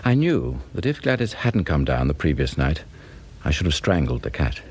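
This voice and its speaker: A man's voice: very deep voice, sing-song, normal speed, darkish tone, slightly rough, full character voice. A British man playing an anxious, suspicious professor who narrates a radio drama in a formal, brooding way. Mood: troubled, brooding. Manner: formal, brooding, swinging in emphasis. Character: anxious, suspicious professor narrating his own unravelling marriage. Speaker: narrator and lead actor.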